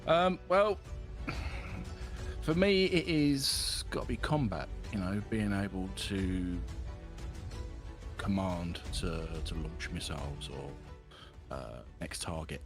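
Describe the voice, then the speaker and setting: Hesitant low-voiced guest gamer. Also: male voice, animated, slow, pauses often, soft background music. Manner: hesitant, many ums, low-key. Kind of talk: casual interview chat.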